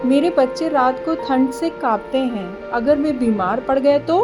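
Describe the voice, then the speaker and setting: A woman voicing a small, caring mother bird in an animated story, earnest and plaintive, with emphatic pleading. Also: sits high, a little slow, over music, pitched above the performer's own voice.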